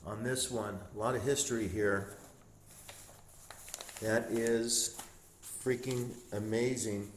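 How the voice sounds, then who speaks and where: A man's voice: lowish voice, steady tone, takes it slowly, pauses often. A man giving a casual, off-the-cuff walk-through as an explorer vlogger, pointing things out to viewers with open amazement. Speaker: urban explorer vlogger, host. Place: inside empty rooms of an abandoned house. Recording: roomy echo, light background noise.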